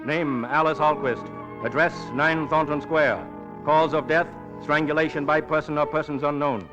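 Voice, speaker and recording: Over clear music on a dulled old radio recording, a man plays a grave official. His fairly high voice reads records in clipped, measured phrases. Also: steady tone, highs cut off, natural voice. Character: solemn official reader of police records. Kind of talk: 1940s radio announcing.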